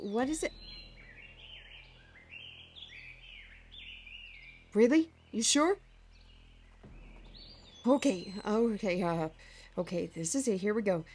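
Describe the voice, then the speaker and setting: A woman voicing a brash, boisterous adventurer who talks gruffly, calls people lass and lad, and breaks into shouts. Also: medium pitch, a little slow, big-room sound, slight background noise, close to an everyday voice. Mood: urgent.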